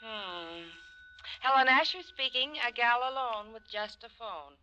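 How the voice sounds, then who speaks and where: Bright, teasing sweetheart. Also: female voice, fairly high pitch, unhurried, slight background noise. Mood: arch. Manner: arch, teasing banter. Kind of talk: 1940s-50s radio acting. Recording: dull old radio broadcast recording.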